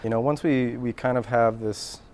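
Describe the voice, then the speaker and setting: A man answering interview questions casually, in a halting, thoughtful way that often restarts. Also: mid-range voice, fairly even intonation, a little mellow. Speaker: radio drama creator and performer being interviewed.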